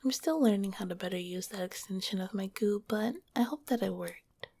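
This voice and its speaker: A soft, breathy whisper of a kind slime monster girl, as a woman plays her in an ASMR roleplay. The tone is mellow and dark, with a gravelly edge. She speaks gently and hesitantly, right up at the microphone. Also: medium pitch, brisk. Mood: soothing. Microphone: close microphone.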